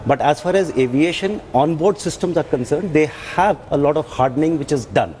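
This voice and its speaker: Older male expert, Indian accent, somewhat high pitch, careful and qualifying, faint noise behind. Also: expressive, normal speed, darkish tone. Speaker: retired air force officer, expert guest. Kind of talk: measured expert interview.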